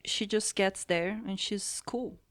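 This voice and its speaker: A woman in her forties from Brazil with a Brazilian Portuguese accent, a podcast guest telling stories in relaxed casual chat. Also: medium pitch, takes it slowly, no echo, studio quality. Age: 40s. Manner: relaxed storytelling, laughing easily.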